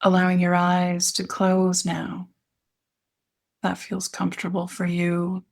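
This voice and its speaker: Woman doing guided meditation, kinda low voice w lots of creak, soothing, pauses between phrases. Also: monotone, darkish tone. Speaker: guided meditation and yoga nidra instructor. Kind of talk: guided meditation, gentle instructional narration.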